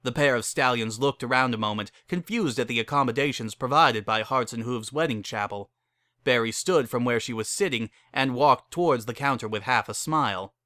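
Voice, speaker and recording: A man reads story prose as a narrator. His voice sits at a middle pitch with a bright, forward tone, and the recording is dry with very little room. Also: normal speed, no room sound. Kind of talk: scripted narration of a fan fiction story.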